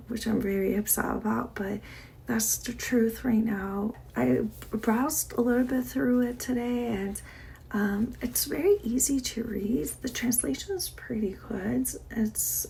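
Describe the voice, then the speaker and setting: Soft-spoken woman with vocal fry. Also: on the high side, a little hiss or hum, rough recording. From Iran. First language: Persian. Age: 40s. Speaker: vlogger. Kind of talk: casual diary chat to camera.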